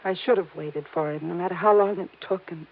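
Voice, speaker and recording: A distraught young wife from an old radio crime drama, pleading and emotional. The sound is thin and tinny, like a narrow old broadcast. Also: female voice, lowish voice, a little fast. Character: anxious young married woman, pleading. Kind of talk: 1950s radio drama acting.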